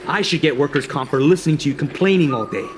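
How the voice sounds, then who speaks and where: A man's voice: a little high, quick pace, natural voice. A man voicing a dry, weary guard who is fed up with his partner in a video game. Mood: annoyed. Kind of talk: game voice acting. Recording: clear ambient noise behind the voice.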